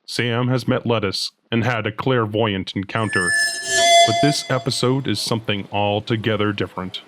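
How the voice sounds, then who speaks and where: Low-voiced man announcing a drama podcast. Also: normal speed, background noise. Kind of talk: scripted dramatic intro narration.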